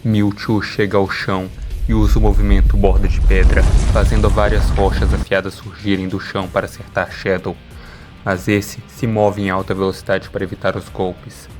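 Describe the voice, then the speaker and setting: A man with a Brazilian accent reading dramatic scripted narration. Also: low voice.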